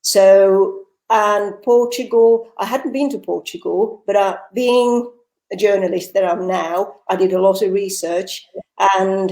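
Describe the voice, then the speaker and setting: A woman's voice: mid-range voice, mellow, echoey room. An older woman born in Finland, a retired teacher, chatting as a guest in an interview with a Finnish-tinged British accent, speaking articulately with frequent restarts. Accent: Finnish-tinged British English. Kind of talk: conversational interview.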